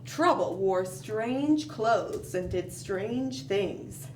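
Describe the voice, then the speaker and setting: A woman reads a picture book to young children in an unhurried, expressive way. She leaves dramatic pauses and adds questioning asides as a storytime host. She is some distance from the mic in a roomy space, with faint noise behind her. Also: medium pitch.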